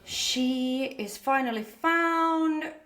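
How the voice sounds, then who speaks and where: A woman's voice: high-pitched, drawn-out words, fairly bright tone. A woman from Finland with a Finnish accent, co-hosting a casual podcast, chatty and joking, often stumbling over words. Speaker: podcast co-host.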